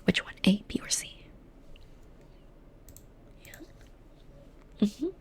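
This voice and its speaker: A young woman whispering softly in an ASMR personality-quiz roleplay, slow and soothing, often repeating phrases. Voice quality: breathy. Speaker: ASMR host.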